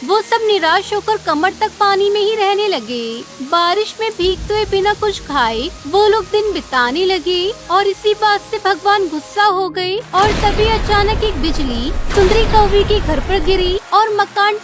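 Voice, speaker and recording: A woman's voice: extremely high pitch, animated, unhurried, hardly any pauses. A woman narrator reading a scripted children's story.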